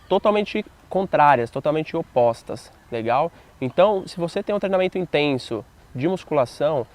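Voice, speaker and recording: A man's voice: on the high side, darkish tone. A man working as a fitness instructor, explaining a training question to viewers and checking in with them often. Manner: didactic, checks in with "legal?". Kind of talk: explainer to camera.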